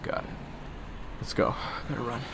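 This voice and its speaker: A young man in an audio roleplay, talking in low, sardonic murmured asides. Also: normal speed, very noisy. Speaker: roleplay voice actor playing assassin roommate.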